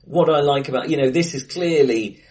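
A man co-hosting a casual film discussion podcast, thinking aloud with many restarts and fillers, recorded face to face in a bright, live room. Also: a little high, moderate pace, far from the mic. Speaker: podcast co-host.

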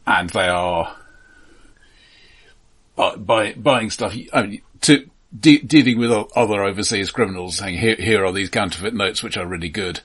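A man co-hosting a casual film podcast with dry, ironic humour, often halting and repeating words as he goes. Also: fairly low pitch, a bit bright, breathy edge. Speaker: podcast co-host.

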